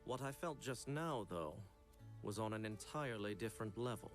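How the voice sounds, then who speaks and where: Male actor as grave young hunter in a video game, lowish voice, measured and dramatic over music. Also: animated, natural voice.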